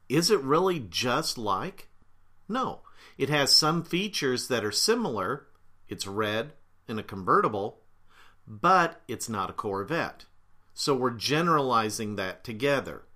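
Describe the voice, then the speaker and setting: Bright-voiced man host with a cold. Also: medium pitch. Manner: unhurried, with fillers and frequent throat-clearing. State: slightly congested, with a cold. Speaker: podcast host, therapist. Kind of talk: casual explanatory talk.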